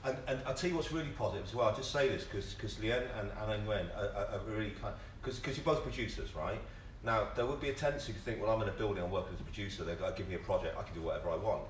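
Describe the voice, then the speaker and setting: A man's voice: lowish voice, racing, hardly any pauses. A British man speaking off the cuff on a panel, emphatic and colloquial, often stammering over repeated words as he makes his case. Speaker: panellist, theatre writer and director. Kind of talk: impassioned unscripted panel talk. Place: indoor panel event at a theatre. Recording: roomy, distant mic, background noise.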